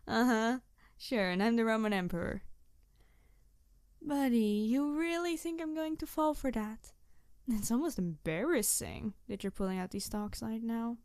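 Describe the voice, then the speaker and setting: A woman's voice: a little high, measured pace, long pauses, clear, resonant tone, no echo, clean studio sound. A woman playing a snarky, embittered, idealistic supervillain in an intense audio roleplay monologue addressed to the listener. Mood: mocking. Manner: snarky, intense, sighing, shifting from emphatic to hushed. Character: snarky, embittered, idealistic female supervillain confronting her nemesis. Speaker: voice actor.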